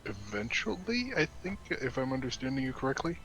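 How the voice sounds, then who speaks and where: A man's voice: medium pitch, sing-song, fairly quick, gravelly, fairly bright tone, rough recording. A man chatting casually as a co-player during a video game. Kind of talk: casual gameplay chat.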